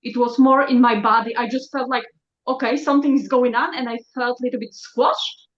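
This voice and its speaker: A woman who learned English later shares her thoughts in a group call, halting and reflective as she searches for words. Her voice sits fairly high. The call audio is echoey and dull. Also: fairly even intonation. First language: not English. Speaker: session participant.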